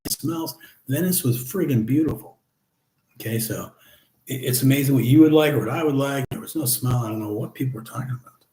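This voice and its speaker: A middle-aged man hosting a casual live chat, reading viewer comments aloud and answering them in a relaxed, chatty way. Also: medium pitch, brisk. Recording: echoey room.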